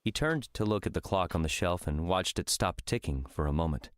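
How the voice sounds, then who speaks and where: Deep-voiced male audiobook narrator. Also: lively intonation, brisk. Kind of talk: scripted fiction narration.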